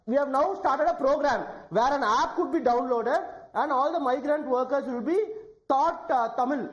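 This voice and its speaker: A woman speaking on a TV debate panel, explaining her party's position in a measured way. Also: sits high, reverberant, muffled recording.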